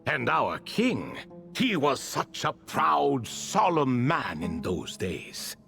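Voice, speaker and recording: An actor playing an ancient, wry and refined spirit who tells stories in a proud, wistful way in a video game. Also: male voice, medium pitch, huge pitch swings, slow, vocal fry, pushed and bright, soft background music, exaggerated voice. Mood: wistful, solemn. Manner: theatrical, refined, leisurely storytelling. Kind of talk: video game character dialogue.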